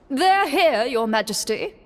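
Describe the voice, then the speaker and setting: Deferential palace official, widely varied intonation, formal, reverberant game audio. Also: female voice, high-pitched, heavily acted. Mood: announcing. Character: courteous, formal royal court servants and officials. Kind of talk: video game voice acting.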